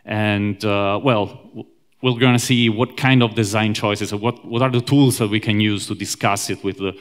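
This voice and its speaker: A man with a Roman Italian accent giving a conference talk on software testing, halting often with fillers and restarts. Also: lowish voice, steady tone, clear, resonant tone. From Italy. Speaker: conference speaker.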